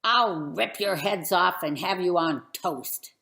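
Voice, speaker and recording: A woman voicing a huge, boastful male giant warrior, bellowing taunts with drawn-out words in a storybook telling. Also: on the low side, vocal fry, bright, forceful tone, echoey room, heavily acted. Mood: menacing taunting.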